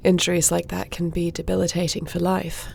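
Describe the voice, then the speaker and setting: Woman acting a low, gravelly warrior princess. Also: flat delivery, a little fast, a little mellow, slight background noise. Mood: self-assured. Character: self-assured, courteous warrior princess. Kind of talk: fantasy audio roleplay acting.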